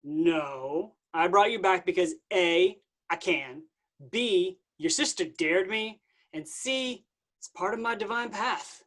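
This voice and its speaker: A woman's voice: lowish voice, slow pace, fairly bright tone. A woman playing a flippant, laid-back male messiah who talks like a slangy dude, in a staged reading of a comic play. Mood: breezy, glib.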